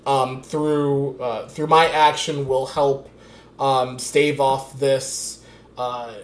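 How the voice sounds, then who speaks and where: A man's voice: medium pitch, level tone, drawn-out words, a little hiss or hum. Late-twenties man, casual political chat, rambling delivery, roomy echo. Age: 20s. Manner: rambling, many restarts and fillers, laughs often.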